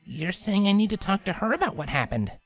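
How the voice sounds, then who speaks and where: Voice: medium pitch, sing-song, a little fast, soft background music, voice pushed up. A narrator voicing a brash young pegasus mare with a casual, plain-spoken, tomboyish manner. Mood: troubled.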